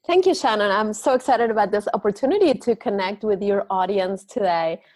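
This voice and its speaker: A woman from Argentina, a yoga and meditation teacher, chatting as a guest on an interview podcast in a lively, spiritual way. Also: mid-range voice, fairly quick, dry recording. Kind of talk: casual interview talk.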